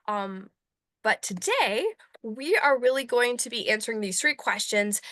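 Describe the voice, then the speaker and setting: A woman's voice: medium pitch, bright, forceful tone, no echo, clean studio sound. A woman hosting a webinar, welcoming people in an upbeat, enthusiastic manner. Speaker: webinar host, nonprofit coach.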